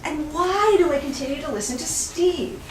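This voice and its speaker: A middle-aged woman giving an expressive live reading of a travel memoir, telling it with wry humor and emphatic pauses. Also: high-pitched. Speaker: author reading from their book. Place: indoors in a store at a live event. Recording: roomy, distant mic with faint background noise.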